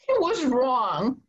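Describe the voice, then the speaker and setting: Woman playing a flustered, high-voiced older maid. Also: highs cut off, full character voice. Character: flustered, admiring household maid. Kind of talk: stage comedy acting. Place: indoors at home, video call.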